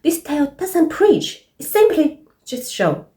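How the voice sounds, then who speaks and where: A woman presents a scripted video essay, speaking earnestly and deliberately in non-native English. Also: sits high, sing-song, warm tone. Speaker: presenter of a literary commentary.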